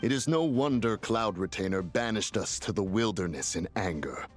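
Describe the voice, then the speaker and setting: A man's voice: lowish voice, animated, moderate pace, soft background music, natural voice. A man playing a stately, self-righteous immortal in a fantasy game, with formal, archaic diction. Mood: aggrieved. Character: stately immortal male adeptus, solemn, self-righteous and dryly sly. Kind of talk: fantasy video game voice acting.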